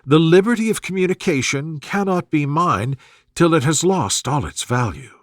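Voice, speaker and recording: A composed, discreet young woman from a classic storybook, read by a man. The delivery is measured and wry, in a fairly high male register with very little room sound. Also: lively intonation, dry recording, studio quality, close to an everyday voice. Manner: measured, reasoning.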